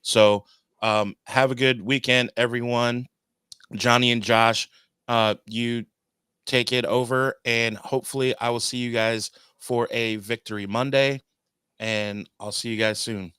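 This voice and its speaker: A man's voice: medium pitch, steady tone, unhurried, pushed and bright, clean tone, no echo. A man hosting a podcast, handing the show over in an upbeat, loose way with frequent ums. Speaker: podcast host. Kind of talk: casual sign-off.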